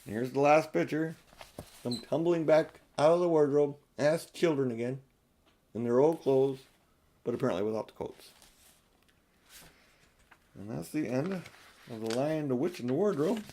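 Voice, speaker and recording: A man's voice: fairly high pitch, moderate pace, long pauses, mellow, slight background noise. An older man narrating a children's audiobook as its reader. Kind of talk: storybook narration.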